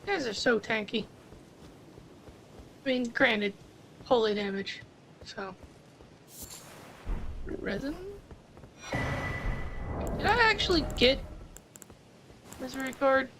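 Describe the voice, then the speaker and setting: A woman streamer talks through her gameplay in a relaxed, rambling way, often restarting her sentences. Her voice sits high with a crackly, gravelly texture. A faint hiss runs under the recording. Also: slow, pauses often. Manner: chatty, explains game mechanics with restarts. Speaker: gaming streamer. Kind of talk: casual gameplay commentary.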